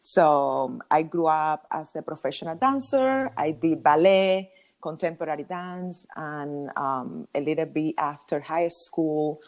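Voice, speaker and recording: A woman in her thirties with a Cuban Spanish accent, a native Spanish speaker, telling her story as a podcast guest with lively ums and laughs. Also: low voice, measured pace. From Cuba. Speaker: podcast guest, hair colorist. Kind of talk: casual interview talk.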